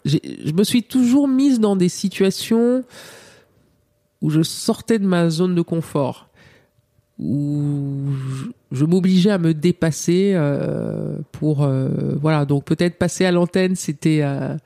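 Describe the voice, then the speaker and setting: A middle-aged woman, a TV journalist, speaking reflectively in an interview. She often pauses with fillers and restarts her sentences. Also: deep voice, mellow. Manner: hesitant, many fillers and restarts.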